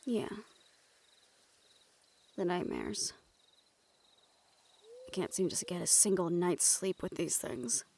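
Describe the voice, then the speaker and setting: A woman acting in an intimate audio roleplay as a remorseful, weary young woman with dangerous powers, speaking softly and hesitantly to the listener. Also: fairly low pitch, animated, takes it slowly, vocal fry, long pauses, a little hiss or hum, full character voice. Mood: troubled, tired.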